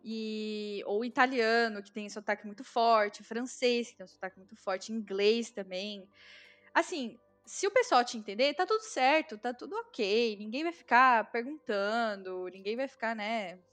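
A woman's voice: a little high, a little slow, a bit bright, faint music behind. A Brazilian woman with a Brazilian Portuguese accent, chatting casually and explaining things with lots of fillers. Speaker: guest, Brazilian living in Germany. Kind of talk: casual conversation.

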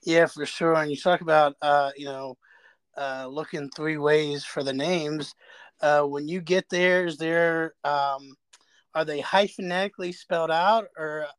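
A man's voice: fairly high pitch, steady tone, measured pace, a bit bright, smooth, no echo. A man conducting a casual interview, agreeable, with loosely phrased questions and comments.

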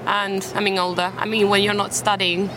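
Young woman from Spain, British accent, relaxed guest chat, outdoor park recording with traffic noise. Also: medium pitch, fairly quick. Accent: British English. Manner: chatty, laughs easily, self-deprecating. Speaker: interview guest. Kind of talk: casual chat.

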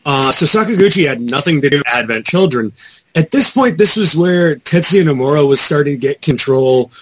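A man hosting a casual discussion show. His voice sits a little high for a man and has a husky edge. He talks in an opinionated, exasperated way. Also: lively intonation, brisk, low-quality recording. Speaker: podcast host. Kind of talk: casual pop-culture discussion.

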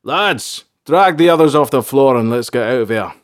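A man's voice: medium pitch, lively intonation, moderate pace, no echo, clean studio sound, pitched above the performer's own voice, close to an everyday voice. A man voicing a blunt, sardonic sergeant of a band of fantasy soldiers, talking in colloquial speech. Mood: resigned. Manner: blunt, colloquial, dryly sarcastic.

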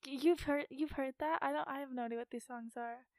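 A woman's voice: high voice, fairly even intonation, fast talker, a little mellow, no echo. A young woman co-hosting a casual chat, giggly and easygoing. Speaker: podcast co-host.

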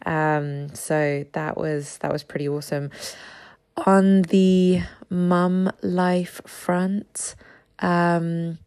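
A low-pitched woman in her thirties chats through her podcast, her just-woken voice raspy with gravelly fry. She talks in a loose, friendly ramble with plenty of ums and little laughs. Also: level tone, very slow, a little mellow. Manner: chatty, laughs often, many ums. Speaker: podcast host. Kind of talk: casual solo podcast chat.

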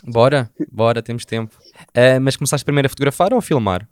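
Quick, smooth-voiced Portuguese male interviewer. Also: mid-range voice, dry recording, clean studio sound. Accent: European Portuguese. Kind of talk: casual conversational interviewing.

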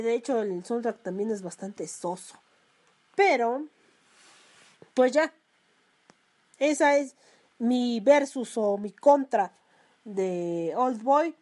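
A young Mexican woman with a high, bright voice rants on her solo podcast. She swears freely, talks casually and crudely, and her throat sounds sore from talking so much. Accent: Mexican Spanish. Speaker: podcast host.

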